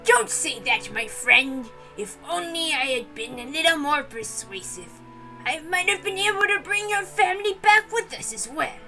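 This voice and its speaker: A woman plays a hearty old patriarch in a visual novel, fond and boisterous. The delivery swings widely and stays bright, with faint music behind. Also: high-pitched, expressive, moderate pace, pitched above the performer's own voice, heavily acted. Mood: regretful, earnest.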